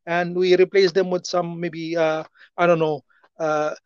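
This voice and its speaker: A man with an Arabic accent answering interview questions in a halting way, full of fillers and restarts. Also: fairly high pitch, little pitch movement, darkish tone, clear, resonant tone, dry recording, highs cut off. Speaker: interview guest.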